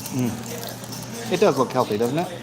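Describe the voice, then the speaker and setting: A man's voice: medium pitch, lively intonation, normal speed. A man chatting casually to a livestream while he eats, talking between bites with savoring hums. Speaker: livestream host. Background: music.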